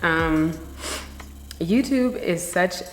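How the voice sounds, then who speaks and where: A woman's voice: on the low side, expressive, very slow, faint music behind. A middle-aged woman vlogger chatting candidly to camera, a little nasal from a slight cold, rambling and reflective. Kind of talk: casual reflective chat to camera. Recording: echoey room with long reverb.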